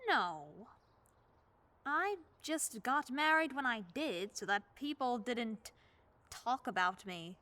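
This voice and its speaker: A woman playing an elderly widowed neighbor, radio play, gentle wry manner, high bright voice. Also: animated, takes it slowly, no echo, full character voice. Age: 70s. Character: kindly widowed old woman neighbor, churchgoing.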